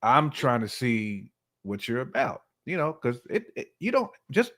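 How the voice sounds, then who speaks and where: A man's voice: medium pitch, very animated, a little slow, fairly bright tone. A man giving his opinions in casual, colloquial conversation, often acting out imagined dialogue as he goes. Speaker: podcast guest or co-host.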